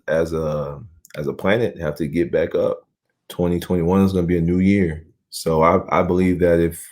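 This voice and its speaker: A young man with a very low voice talks in a relaxed, earnest way. He fills his sentences with "you know" as he co-hosts a casual chat. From Nigeria, raised in Houston. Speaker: channel co-host, professional basketball player.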